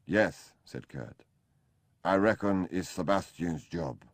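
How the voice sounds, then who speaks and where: A man's voice: deep voice, slow, vocal fry, slightly rough, dry recording, full character voice. A man reading an audiobook, voicing a crude, sick man who complains bluntly. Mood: complaining. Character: sick, surly, crude male companion.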